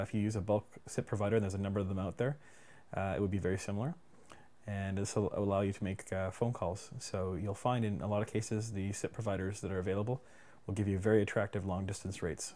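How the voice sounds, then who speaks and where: A man presenting a casual screencast software tutorial in an informal, plain-spoken and somewhat hesitant way. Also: lowish voice. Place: indoors at a computer. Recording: clear background room noise.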